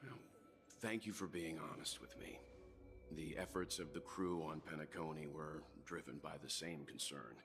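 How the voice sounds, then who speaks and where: A man playing a calm, reassuring gentleman mentor in a video game, speaking in a measured, formal way. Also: a bass voice, creaky, over music. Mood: calm, thoughtful. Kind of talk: dramatic video game dialogue acting.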